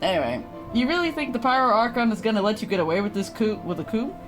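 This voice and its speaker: A woman's voice: medium pitch, very animated, quick pace. A woman streamer giving blunt, sarcastic, casual commentary on a game. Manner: blunt, sarcastic, swearing, giggly. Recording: faint game music behind.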